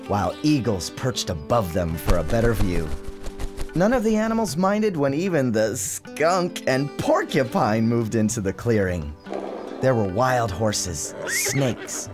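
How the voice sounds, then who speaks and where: A man giving a dramatic, theatrical scripted narration of a storybook, with music behind him. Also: medium pitch. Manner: theatrical, expressive. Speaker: author narrating his storybook.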